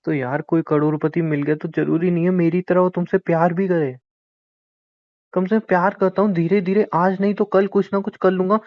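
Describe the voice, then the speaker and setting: A man's voice: sits high, dry recording, dull audio, close to an everyday voice. A young man playing a hurt boyfriend who pleads and argues bitterly in a naturalistic audio drama of a couple's call. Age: young adult. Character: wounded, pleading young boyfriend with a failed business.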